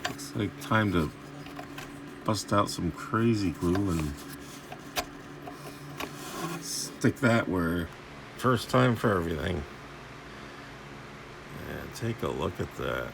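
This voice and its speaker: A man's voice: sits low, expressive, slow, vocal fry, long pauses, noisy background. A man giving casual, rambling hands-on repair commentary, thinking aloud in informal, salty language. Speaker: host of a radio repair video. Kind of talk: casual hands-on commentary while inspecting equipment.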